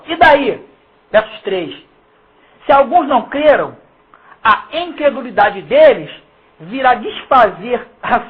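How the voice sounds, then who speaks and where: Husky, slangy Carioca preacher on muffled audio. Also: medium pitch. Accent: Carioca Brazilian Portuguese. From Rio de Janeiro, Brazil. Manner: emphatic, slangy, mocking, with rhetorical questions to listeners. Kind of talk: informal Bible exposition, reading verses and commenting. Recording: muffled, phone-like lo-fi sound.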